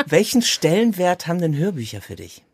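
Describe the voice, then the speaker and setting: Deep, husky woman with animated intonation. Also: brisk, dry recording. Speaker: podcast host, interviewer. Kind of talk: casual interview chat.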